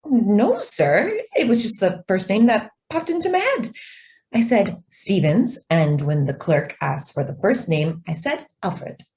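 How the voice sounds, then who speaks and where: An actress playing a polite young office stenographer, deferential yet evasive and quietly persistent, in a radio drama. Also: female voice, mid-range voice, very animated, a little slow. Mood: coy, evasive. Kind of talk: stage-style radio drama acting.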